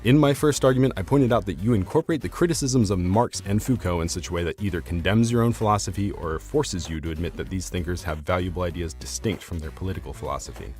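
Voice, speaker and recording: A man's voice: low voice, quick pace, few pauses. A man narrating a scripted, argumentative video essay in an articulate, formal, academic way. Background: soft instrumental music.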